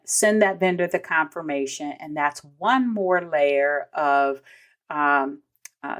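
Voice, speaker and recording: An American woman hosting an instructional podcast, explaining in a conversational way with emphasis on key points and casual asides. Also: low-pitched. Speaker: podcast host, vendor payments trainer.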